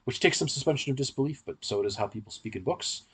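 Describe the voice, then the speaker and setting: A man's voice: mid-range voice, expressive, fast, breathy edge. A man hosting a podcast, explaining things at length in a conversational discussion.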